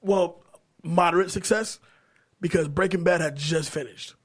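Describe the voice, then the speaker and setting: A man's voice: sits high, fairly even intonation, moderate pace, breathy edge. A man hosting a casual podcast chat, talking in slang with frequent swearing.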